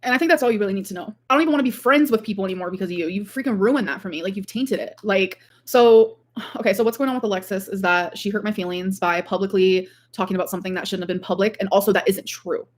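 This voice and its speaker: A woman's voice: mid-range voice, fast talker. Female livestreamer, mid-range voice, informal rambling delivery with frequent filler "like". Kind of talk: casual livestream chat, reading out viewer comments.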